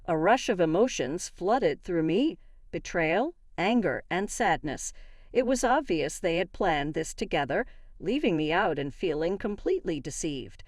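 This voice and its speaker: Female story narrator, slightly low voice, lively pitch movement, dry close sound, expressive scripted delivery. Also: measured pace, no room sound. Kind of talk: scripted dramatic first-person narration.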